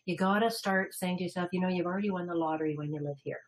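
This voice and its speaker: A woman's voice: fairly low pitch, racing. A woman consultant presenting a salon business webinar in a chatty, upbeat way, laughing easily.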